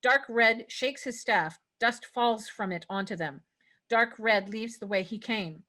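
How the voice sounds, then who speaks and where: A woman's voice: on the high side, a little slow, pushed and bright. A woman reading stage directions as narrator in a scripted fantasy table read.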